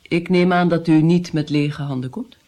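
A woman's voice: low voice, fairly even intonation, normal speed, mellow, close to an everyday voice. A woman playing a haughty, commanding princess in a radio drama. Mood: haughty, brisk. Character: imperious, brisk pale noble princess.

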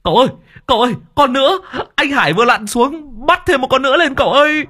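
A clumsy, jittery young helper, put on by a man narrating a ghost story. His voice jumps high and wild, stammering and whining, with a husky grain. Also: normal speed. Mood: boastful. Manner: stammering, whiny, high and excited.

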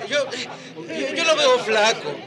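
An actor in a radio drama, a man playing an excitable young boy who is eager and insistent. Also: extremely high pitch, takes it slowly. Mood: curious. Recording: crowd noise behind.

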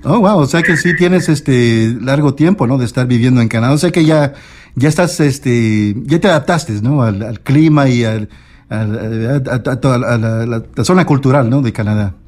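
A man's voice: medium pitch, normal speed, a little mellow. A man hosting a Spanish-language radio show, interviewing a guest with many fillers and restarts. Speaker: radio host.

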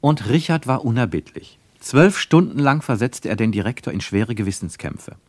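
A man's voice: mid-range voice, animated, normal speed, dry recording. A man narrating a literary radio play in a dramatic, emphatic manner.

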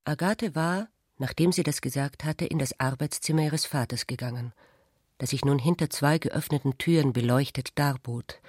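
A narrator reading literary prose for a radio play in a measured, formal way. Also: mid-range voice, a little mellow, breathy edge, dry recording, studio quality, natural voice.

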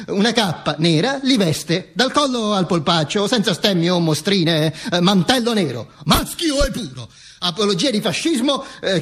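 An actor playing a dead, vain fascist dictator who narrates his own afterlife in a halting, mock-solemn stage monologue. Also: high voice, fairly bright tone, slightly rough, full character voice. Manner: halting, stammering repetitions, mock-solemn declaiming. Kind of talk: theatrical monologue, narrating to an audience.

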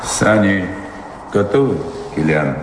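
An actor playing a melancholy baron in a radio drama, speaking in a measured, brooding, commanding way. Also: male voice, sits low, lively intonation, background noise. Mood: hushed, commanding. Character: melancholy baron, master of the house, yearning for faraway seas. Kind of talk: theatrical radio drama acting. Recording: reverberant hall, music behind.

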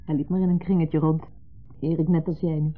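A muffled old radio play recording of a composed wife with a Netherlands Dutch accent. Her voice sits low and is slightly rough. She is terse and matter-of-fact, evasive in a 1970s radio drama manner. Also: level tone, a little fast, soft background music. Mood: cool, teasing. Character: composed, evasive woman between husband and former lover.

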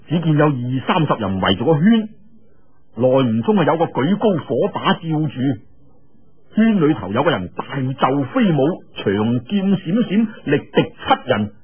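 A man with a husky voice tells a martial arts story in a dramatic, colloquial style with drawn-out particles. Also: a little high. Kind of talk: animated Cantonese storytelling of a martial arts novel. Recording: dull, lo-fi radio-like audio.

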